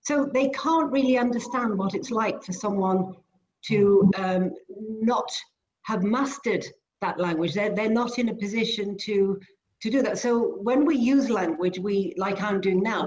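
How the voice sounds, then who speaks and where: A Welsh-born woman with a British accent speaks as an interview guest, explaining her work in a measured, articulate way. Her voice sits at a middling pitch for a woman. Welsh is her first language. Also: darkish tone, dull audio. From Wales. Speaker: guest, speech therapist and behavior analyst.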